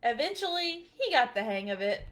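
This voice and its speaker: Expressive woman reading a picture book. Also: on the high side, normal speed. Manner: gentle, expressive storytelling. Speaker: children's librarian.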